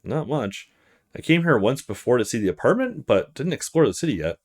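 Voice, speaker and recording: A man's voice: medium pitch, big pitch swings, fairly quick. A man voices a polite, reserved young student from a visual novel. He sounds thoughtful and understated as he muses to himself, with very changeable intonation in a mid-range voice. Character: reserved young male student, wary, polite, inwardly musing.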